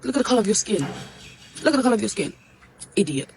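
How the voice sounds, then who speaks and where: A woman's voice: mid-range voice, big pitch swings, very fast, darkish tone, a little hiss or hum. A woman ranting to camera in a blunt, exasperated, scolding way. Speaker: social media commentator. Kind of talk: ranting direct-to-camera video.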